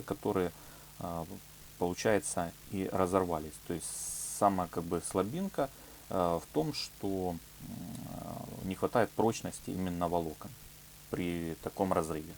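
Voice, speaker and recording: A man's voice: low voice, darkish tone. A man presenting an informal hands-on craft tutorial, explaining step by step with hesitant phrasing and filler words. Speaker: leathercraft workshop tutorial presenter. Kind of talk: informal hands-on product demonstration. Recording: clear background noise with hum.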